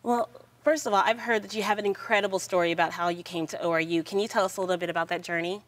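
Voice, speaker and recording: A woman's voice: medium pitch, fairly even intonation, racing. A woman interviewer and presenter for a university, reading a polished script and asking questions in an upbeat way.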